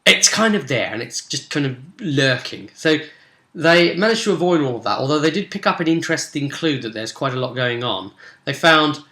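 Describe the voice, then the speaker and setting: A man speaking casually as a game master, recapping a tabletop role-play session with animated, dramatic emphasis. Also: a little high, moderate pace. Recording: roomy, echoey sound.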